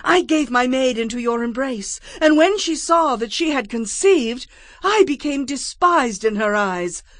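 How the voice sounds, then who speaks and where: Higher-pitched earnest, aggrieved Bible wife. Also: female voice, animated, measured pace, fairly bright tone, dry recording, clean studio sound. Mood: bitter, aggrieved. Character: Sarai, aggrieved barren wife of a Bible patriarch. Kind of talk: dramatized audio Bible acting.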